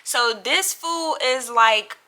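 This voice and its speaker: A woman's voice: high-pitched, takes it slowly, sharp tone. A young American woman telling a casual storytime in a dramatic, slangy way, acting out the dialogue as she goes. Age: young adult. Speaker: storytime vlogger.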